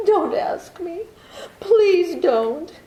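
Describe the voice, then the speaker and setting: A woman's voice: extremely high pitch, big pitch swings, extremely slow, mellow, full character voice. A woman playing a coy, deceitful young femme fatale. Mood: pleading. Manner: breathy, pleading, coy. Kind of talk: 1940s radio acting. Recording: echoey room.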